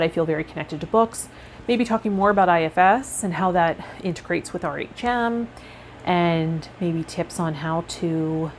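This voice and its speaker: A woman vlogging casually and reflectively to her viewers, rambling a little and pausing mid-thought, with some guided meditation cues. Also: fairly low pitch, slight background noise. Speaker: host of a tarot vlog and healer.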